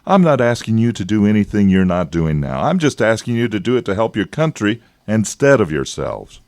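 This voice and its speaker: An actor in a radio drama playing an evasive, persuasive government agent, smooth-talking and patronizing, with hesitations. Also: male voice, lowish voice, sing-song, fairly quick, darkish tone, no room sound, clean studio sound. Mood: insistent, smug.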